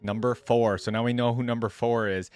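A man's voice: medium pitch, steady tone, brisk, a little mellow, no echo. A young man giving casual reaction commentary into a close desk microphone. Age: young adult. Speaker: reaction video host. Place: indoor gaming setup.

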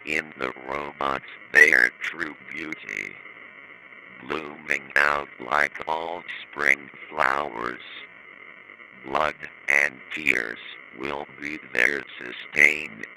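A man voicing a sinister disembodied entity, reciting menacing, drawn-out verse in a gravelly voice on a noisy analog horror tape. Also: rumbling low, sharp tone, exaggerated voice. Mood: ominous. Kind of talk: slow ominous verse recital.

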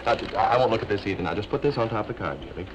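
A man's voice: fairly low pitch, rapid-fire, lightly acted. A young man playing an earnest, flustered traveler showing off card tricks in a 1940s film. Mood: eager. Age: young adult. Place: studio set of a train club car. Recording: hissy old film soundtrack.

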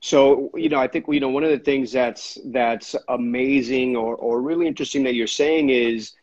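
A man hosting an interview, asking thoughtful, measured questions over a video call. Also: medium pitch, steady tone, darkish tone, muffled recording. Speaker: interviewer host.

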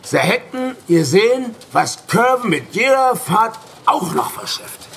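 Gravelly, hot-tempered fellow. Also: male voice, sits high, sing-song, measured pace, fairly bright tone, slight background noise, exaggerated voice. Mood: accusing. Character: gruff, hot-tempered man in charge of men. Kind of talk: audio drama dialogue.

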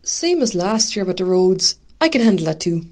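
A woman's voice: on the low side, very animated, a little fast, darkish tone. A woman playing a dutiful, chatty Irish village committee secretary in an amateur radio drama.

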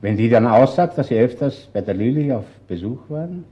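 An actor with an Austrian accent playing a composed, shrewd chief inspector in a radio crime drama, courteous but firm. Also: male voice, mid-range voice, animated, brisk, highs cut off, close to an everyday voice.

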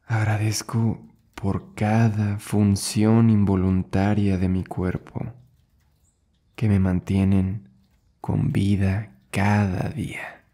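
Slow, low, husky man guiding meditation. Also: level tone, mellow. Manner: soothing, unhurried, short phrases with long pauses. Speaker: yoga and meditation instructor, host. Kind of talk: guided meditation.

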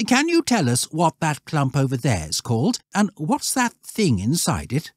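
A man's voice: on the high side, huge pitch swings, a little slow, husky, no echo, studio quality, voice pushed up, exaggerated voice. A man voicing an eager, well-mannered British schoolboy in a story, polite and inquisitive. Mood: helpful, polite. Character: eager, curious, well-mannered upper-class schoolboy Scouts.

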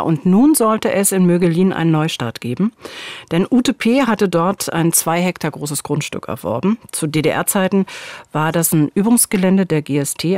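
A woman's voice: lowish voice, darkish tone. A middle-aged woman narrates a true-crime case as a podcast host. She speaks scripted dialogue into a studio microphone, with a close, dry sound and no room echo. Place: small dark studio. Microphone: studio boom microphone, headphones.

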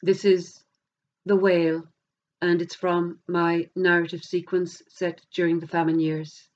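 A middle-aged woman with an Irish accent, a poet reading verse aloud in a measured, deliberate way. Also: on the low side, flat delivery, darkish tone. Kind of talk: poetry reading. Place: plain indoor room against a bare wall. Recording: dull lo-fi camera sound.

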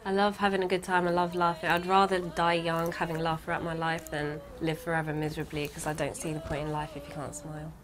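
A young woman in her late teens, a student, introducing herself to camera from a bedroom in a relaxed, sincere way. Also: low-pitched, quick pace, hardly any pauses. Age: young adult. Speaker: student contestant.

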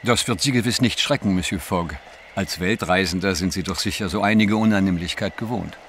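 A man's voice: low voice, a little fast, fairly bright tone, slight background noise. An actor playing a dry, sardonic male travel companion in an audio drama. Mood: dry mockery.

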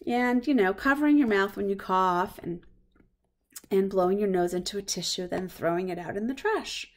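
Female storybook reader, mid-range voice, expressive delivery aimed at little kids. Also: mid-range voice, normal speed. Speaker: reader of a children's picture book read-aloud. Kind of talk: children's storybook read-aloud.

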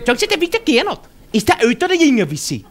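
A man's voice: very high-pitched, huge pitch swings, racing, noisy background, heavily acted. An actor playing a grumpy, rambling older Viennese man heckling from the audience, stammering and coarse, in a live cabaret sketch. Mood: gossipy. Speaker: comedy partner playing a heckler. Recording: live stage recording with audience laughter.

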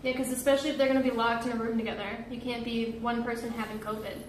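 A woman's voice: fairly high pitch, little pitch movement, very fast. A woman in her twenties chatting casually on a livestream. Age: 20s. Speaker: streamer co-host. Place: small kitchen, indoors. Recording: roomy echo, faint noise.

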